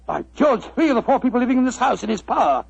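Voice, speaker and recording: High, husky, blustering British gentleman doctor. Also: very animated, racing, no echo, heavily acted. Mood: puzzled. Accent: British English. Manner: bumbling, stammering, exclaiming. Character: blustering, excitable middle-aged doctor, detective's loyal companion. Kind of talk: 1940s radio drama acting. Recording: dull, dry 1940s radio recording.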